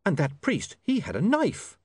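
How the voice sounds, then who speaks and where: A man plays a spirited, prim young woman from a storybook, somewhat shaken. The voice sits higher and moves freely in pitch, very dry. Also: huge pitch swings, normal speed, husky, no echo, exaggerated voice. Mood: indignant.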